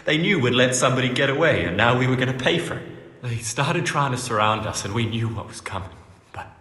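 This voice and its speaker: Rough, foul-mouthed medieval bandit, echoey. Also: male voice, mid-range voice, animated, brisk, pushed and bright. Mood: rueful recounting. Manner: coarse, swearing, blunt. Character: rough, foul-mouthed young bandit recounting a botched getaway. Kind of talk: video game cutscene acting. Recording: roomy, reverberant, faint music behind.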